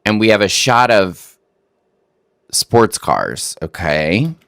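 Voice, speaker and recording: Man from texas, reaction host, low voice, animated, dry close sound, wry and skeptical. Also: gravelly, dry recording. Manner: wry, skeptical, halting restarts. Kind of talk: casual commentary on a clip.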